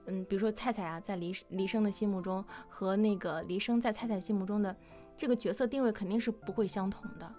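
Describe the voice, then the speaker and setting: A woman's voice: medium pitch, fairly even intonation, fast talker, warm tone, soft background music. A woman hosting a playful live-stream question-and-answer session. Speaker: host of a live Q&A with voice actors.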